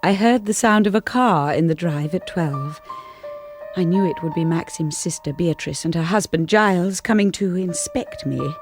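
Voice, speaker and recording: A woman's voice: on the low side, normal speed, over music, natural voice. A woman playing a shy, anxious young wife in a radio drama, with an English accent and a hesitant, polite manner. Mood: haunted, reflective. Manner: hesitant, polite, self-effacing. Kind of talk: radio drama acting with first-person narration.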